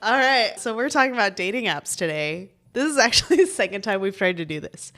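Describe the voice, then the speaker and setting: A woman's voice: medium pitch, sing-song, brisk, a bit bright, soft background music. A woman in her forties co-hosting a podcast in casual, frank, slangy conversation. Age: 40s. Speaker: podcast co-host.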